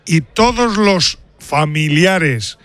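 A man's voice: fairly high pitch, animated, drawn-out words, a bit bright, slight background noise. A man, reporting from the stadium in a live sports radio chat, assured and emphatic. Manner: assured, emphatic, talks over others.

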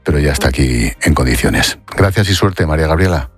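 A man with a low voice and an accent from Spain presents a broadcast news programme. He moves between scripted narration and interview questions, with faint music behind him. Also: expressive, brisk, slightly rough.

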